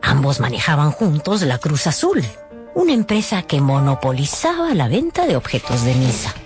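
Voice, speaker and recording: Expressive radio novel narrator over music. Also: medium pitch, moderate pace.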